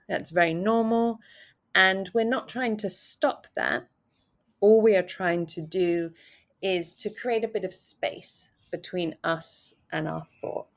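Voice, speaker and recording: A woman leading a guided meditation, speaking in a calm, gentle way with soft pauses between phrases. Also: mid-range voice.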